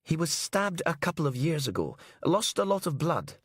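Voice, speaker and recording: A man reading a gentle woman caregiver who tries to keep her voice level. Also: a little high, sing-song, moderate pace, slightly rough, no echo, clean studio sound, pitched above the performer's own voice, full character voice. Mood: strained. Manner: gentle, trying to stay level. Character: soothing woman caring for an inmate.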